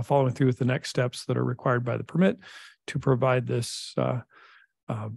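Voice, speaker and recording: A middle-aged man with a gravelly, creaky voice briefs a city council from a desk microphone. He speaks in a measured, technical way, pausing often on fillers. The sound is close and dry. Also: mid-range voice, a little mellow, no echo. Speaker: public works director. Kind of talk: formal council presentation.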